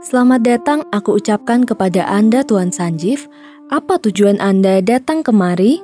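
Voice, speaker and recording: A woman's voice: medium pitch, expressive, moderate pace, warm tone, faint music behind, natural voice. A woman playing a gracious island princess in a children's story, speaking in a polite, regal way. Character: gracious, formal young princess ruling an island.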